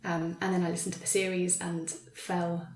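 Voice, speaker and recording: A woman's voice: fairly low pitch, level tone, normal speed, a crowd in the background. A woman writer answering conversationally as an interview guest, recorded with a roomy echo. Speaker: playwright, guest. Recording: roomy, echoing sound.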